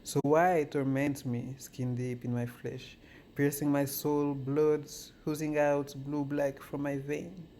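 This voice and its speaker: A man reading spoken-word poetry in a measured, solemn manner. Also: a little high, flat delivery, dark tone, slight background noise.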